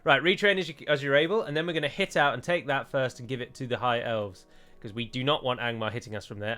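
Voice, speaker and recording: A man talks through a strategy campaign in a loose, chatty way. He mocks himself and drops jokey asides as he plays. His voice sits at an ordinary middle pitch. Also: fairly bright tone. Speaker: let's play host. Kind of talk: casual gameplay commentary.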